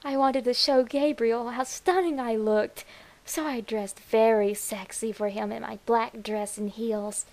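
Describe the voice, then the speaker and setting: A woman acts out a deranged young woman in love with something morbid, narrating her horror story. Her voice sits fairly high and breathy. She draws her words out very slowly, with a giddy, demented edge and theatrical emphasis. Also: steady tone, darkish tone. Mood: unhinged, fervent. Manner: demented, breathy, dramatic, slow with whispered words.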